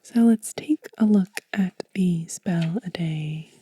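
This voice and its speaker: Whispery, very mellow woman doing ASMR. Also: fairly low pitch, dry recording. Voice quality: breathy, whispery, hushed. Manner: gentle, unhurried. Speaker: ASMR creator and host. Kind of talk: soft-spoken ASMR show and tell, partly reading aloud. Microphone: close microphone.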